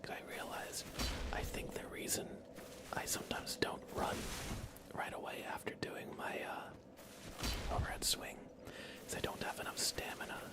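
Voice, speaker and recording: A man livestreaming a video game in a soothing, hushed ASMR style, commenting casually on his play. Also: moderate pace. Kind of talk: whispered ASMR gameplay commentary.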